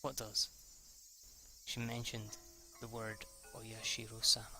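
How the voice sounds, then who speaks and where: A man's voice: on the low side, monotone, takes it slowly, pushed and bright. A man reading a visual novel aloud as an amateur let's player, in narration that can stumble and restart. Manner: stumbles over words and rereads lines; casually foul-mouthed when reacting. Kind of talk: amateur read-aloud narration with off-the-cuff reactions.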